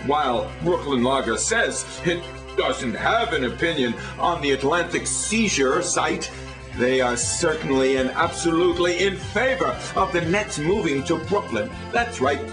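A middle-aged man giving a sarcastic, theatrical mock infomercial pitch. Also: animated, measured pace, over music, poor audio. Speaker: infomercial pitchman in a satirical rant.

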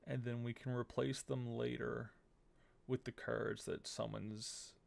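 A man's voice: mid-range voice, little pitch movement, unhurried, creaky. A man in his thirties giving casual game commentary, thinking aloud and muttering to himself as he plays. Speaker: game streamer. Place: small room at home, indoors. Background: game music.

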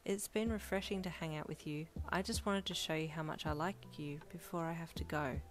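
A woman voicing a gentle, shy young male swordsman with a soft, hesitant way of talking, in a reader-insert story. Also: low-pitched, fairly even intonation, a little fast, soft background music, natural voice.

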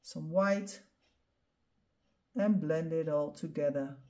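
A middle-aged Dutch woman talks through her painting in English, her second language. She speaks unhurriedly, with a mellow, soft tone, explaining each step calmly as she demonstrates. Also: low voice, slow. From Netherlands. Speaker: art instructor. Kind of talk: painting tutorial voice-over.

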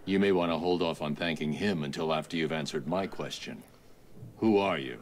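An actor playing a grim masked vigilante in a game cutscene, clipped and commanding. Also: male voice, moderate pace, slight background noise. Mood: stern. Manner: clipped, commanding, low menace. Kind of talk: video game cutscene acting.

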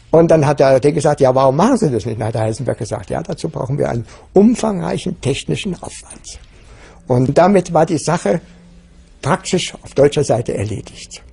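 Older male interviewee, gravelly and mellow, rambling spontaneous recollection. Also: a little high. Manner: rambling, self-correcting, acts out quotes.

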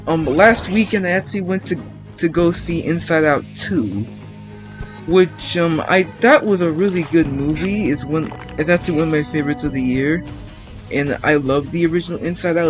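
एक किशोर लड़का, जो गेम खेलते हुए बिखरी, बातूनी कमेंट्री करता है, बार-बार "um" कहकर वाक्य दोबारा शुरू करता है, पीछे गेम का संगीत साफ़ बजता है।. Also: male voice, a little high. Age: teens. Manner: rambling, chatty, many restarts and ums. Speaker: gameplay commentator. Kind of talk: casual let's-play commentary. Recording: game music playing underneath.

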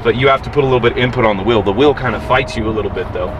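A man in his thirties hosting a casual vlog, talking to a handheld camera outdoors and then from inside a pickup truck, joking and rambling excitedly. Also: mid-range voice, rapid-fire. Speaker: vlogger, reptile-hunting channel host. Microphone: handheld camera at arm's length. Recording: noisy outdoor and road sound.

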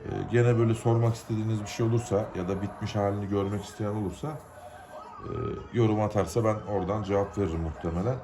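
A man's voice: on the low side, steady tone, quick pace, noisy background. A man from Turkey gives an informal, unscripted how-to, explaining step by step in plain, colloquial words. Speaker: hobbyist giving a DIY repair tutorial.

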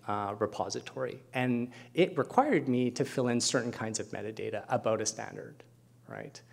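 A man's voice: medium pitch, creaky. A middle-aged man speaking as a keynote presenter at an academic conference, explaining things with conversational asides. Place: lecture hall at a podium, indoors.

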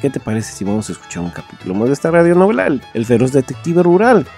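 A man's voice: mid-range voice, very animated, fast, dark tone, faint music behind. A man hosting a podcast, casually reading and answering listener messages. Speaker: podcast host.

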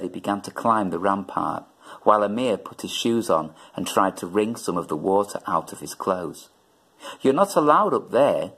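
A man's voice: fairly low pitch, fairly bright tone, husky. A middle-aged man reading a children's story aloud expressively. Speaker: story reader. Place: indoors in a plain room.

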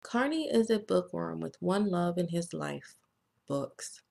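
An American woman reading a children's storybook aloud as a narrator, in a deliberate, expressive read-aloud manner. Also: fairly low pitch, vocal fry. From United States. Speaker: children's author reading own book.

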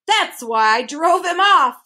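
A woman's voice: high voice, wildly swooping pitch, normal speed, fairly bright tone, pitched above the performer's own voice, exaggerated voice. A woman voicing a blustering, cowardly male humbug insect in a storybook, emphatic and boastful.

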